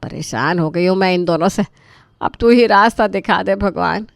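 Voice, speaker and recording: A woman's voice: mid-range voice, moderate pace, creaky, dry recording, studio quality, natural voice. A woman voicing an exasperated, put-upon middle-aged mother-in-law in a story, complaining dramatically.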